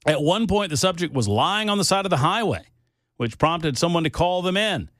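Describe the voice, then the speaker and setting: A man's voice: high voice, animated, moderate pace, fairly bright tone, no echo, studio quality. A man hosting a talk radio show, reading silly news stories and making mocking asides.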